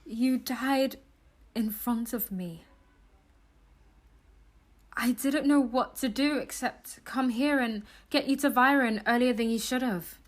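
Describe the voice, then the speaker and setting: High-voiced, desperate young daughter, acted by a woman. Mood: grieving, helpless. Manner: emotional, halting, sweary. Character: desperate young time-travelling daughter, grieving and defiant. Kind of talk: audio drama acting.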